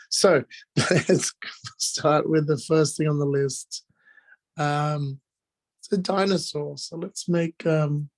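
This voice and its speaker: A man with a hoarse, strained voice from being sick, informally explaining drawing step by step as a teacher on a live video call. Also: fairly high pitch. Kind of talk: casual live online drawing lesson, talking while drawing.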